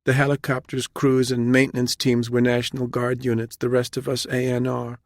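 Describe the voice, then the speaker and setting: A man voicing a meek, frightened woman army captain in her mid-twenties with a New Jersey accent and a soft, trembling, hesitant delivery. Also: mid-range voice, monotone, normal speed, dry recording, studio quality, voice pushed up, close to an everyday voice. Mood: subdued, reporting. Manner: hesitant, deferential.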